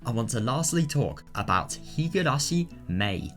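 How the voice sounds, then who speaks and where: A man's voice: mid-range voice, sing-song, normal speed, fairly bright tone. A man presenting a video guide in a scripted, methodical, instructional way. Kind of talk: scripted explanatory narration. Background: background music.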